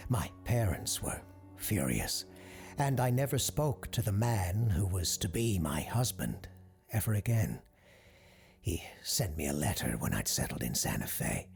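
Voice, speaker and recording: Man reading as regretful woman, slow and halting. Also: low voice, very animated, creaky, darkish tone, faint music behind, heavily acted. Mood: haunted regret. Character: regretful woman recounting her runaway-bride past. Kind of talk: quoted testimony within audio drama narration.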